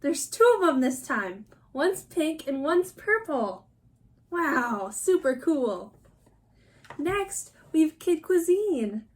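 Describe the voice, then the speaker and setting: A woman's voice: sits high, very animated, slow, darkish tone. A woman narrating a casual toy unboxing for children, using simple phrases and small exclamations.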